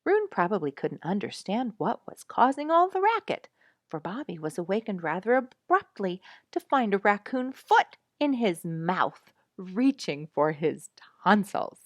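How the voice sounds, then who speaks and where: A woman reading an animal storybook aloud in an expressive, animated storytelling manner, as if for listeners following the pictures. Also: mid-range voice, measured pace, no room sound, clean studio sound. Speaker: storybook reader.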